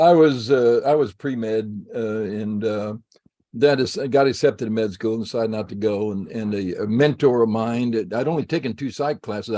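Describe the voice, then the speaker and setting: A man's voice: medium pitch, dark tone. Older man rambling over a video call. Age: 70s. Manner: rambling, many restarts and fillers. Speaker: psychologist guest. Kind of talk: conversational interview answers.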